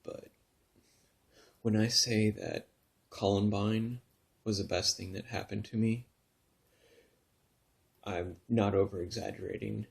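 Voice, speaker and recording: A man in his thirties from Northern California who tells his own story in a soft, halting way, full of pauses and restarts, sometimes close to a whisper. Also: lowish voice, steady tone, gravelly, breathy edge. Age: 30s. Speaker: vlogger telling his story. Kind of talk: personal confessional vlog.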